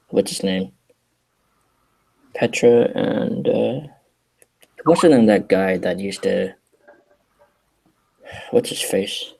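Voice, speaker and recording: A man from the United States in a casual online chat, speaking haltingly with many pauses and "uh"s. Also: low-pitched, vocal fry, a little mellow. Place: online voice hangout.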